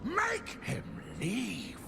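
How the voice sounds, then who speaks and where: A snarling game demon with a gravelly, growling voice that swings wildly in pitch. He is impatient and vengeful, spitting out blunt demands in slow, heavy bursts. Loud music plays behind him. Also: huge pitch swings, heavily acted. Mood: furious. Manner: blunt, demanding outbursts in short phrases. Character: snarling, impatient, vengeful male spirit demon possessing a man. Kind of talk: dramatic video game voice acting.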